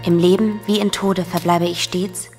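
An actress playing a devoted, sorrowful young niece, earnestly reciting a farewell letter in a German audio drama. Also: female voice, fairly low pitch, little pitch movement, moderate pace, a little mellow, natural voice. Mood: sorrowful, resolute. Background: music.

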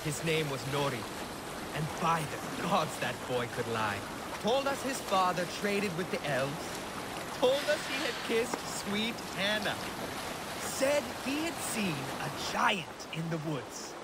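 An actor playing a boastful, amused Viking warrior telling a theatrical tale in a video game, over loud water and boat noise. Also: male voice, sits high, sing-song, unhurried, heavily acted. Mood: amused, mischievous. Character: boastful male Viking warrior recounting a boyhood prank. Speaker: storyteller. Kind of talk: dramatic video game storytelling.

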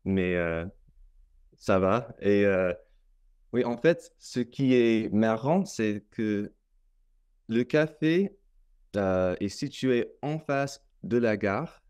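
A young Canadian man, a native English speaker, talking in careful learned French on a video call, with many hesitant pauses. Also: lowish voice, animated, a little mellow, clean tone. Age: young adult. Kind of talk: casual conversation in learned French. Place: small room at home. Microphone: laptop webcam mic on video call.

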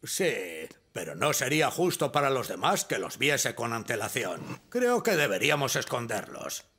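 A man's voice: medium pitch, big pitch swings, normal speed, pushed and bright, breathy edge, exaggerated voice. A man voicing an older, blustery but kindly fire chief in a children's cartoon dub, fussy and commanding, with a European Spanish accent. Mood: blustery, pleased. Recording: studio voice with music behind.

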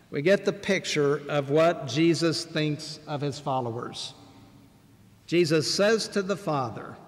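Older man preaching slowly in a reverberant church. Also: a little high. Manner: measured, deliberate preaching with pauses. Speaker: bishop preaching. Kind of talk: sermon. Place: large church sanctuary, at a wooden pulpit. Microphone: pulpit microphone, far camera.

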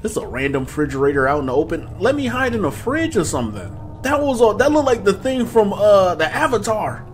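A man in his twenties giving casual, slangy horror game commentary to his viewers in an animated, excitable way. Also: high voice, fairly quick, few pauses, a little hiss or hum. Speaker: gaming video host. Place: small room at home, indoors.

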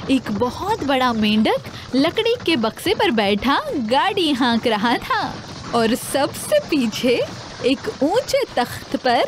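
A woman narrating a scripted fairy tale for children in an expressive, dramatized way. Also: sits high, slight background noise. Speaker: storyteller.

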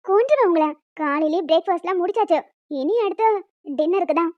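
Woman voicing a very high-pitched, teasing young wife. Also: fast, no room sound, dull audio. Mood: playful protest. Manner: playfully scolding, bantering. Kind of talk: cartoon relationship drama dialogue.

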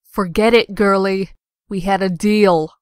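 A woman's voice: mid-range voice, steady tone, a little slow, clear, resonant tone, no room sound, studio quality, natural voice. A woman playing a cocky, defiant young rebel in a comic dub, taunting and declamatory. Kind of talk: amateur comic dub acting.

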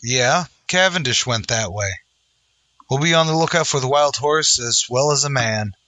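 Man acting bright-voiced, noble Lone Ranger. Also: medium pitch, normal speed, dry recording, natural voice. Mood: determined. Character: Lone Ranger, resolute, noble masked western hero. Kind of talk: old-time radio western acting.

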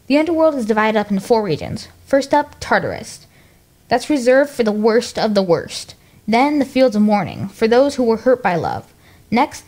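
An American woman presenting on the radio as host, reading from a script. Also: fairly high pitch. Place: radio studio.

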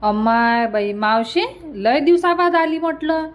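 A woman's voice: on the high side, animated, unhurried, slight background noise. A woman voicing a homely, earnest young married niece in a cartoon comedy, with a Varhadi Marathi accent. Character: homely young married niece visiting her village family. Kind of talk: cartoon comedy dialogue in rural dialect.

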